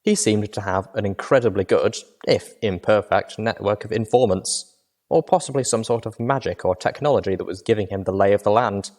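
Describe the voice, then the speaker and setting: A man reading a fan fiction novel aloud as a first-person narrator, steady and even. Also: lowish voice, brisk. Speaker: audiobook reader.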